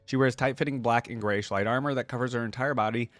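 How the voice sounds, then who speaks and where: A man's voice: medium pitch, steady tone, fast talker, fairly bright tone, dry recording. A man hosting a reaction video, speaking casually and with enthusiasm as he thinks aloud. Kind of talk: casual reaction commentary, partly reading aloud.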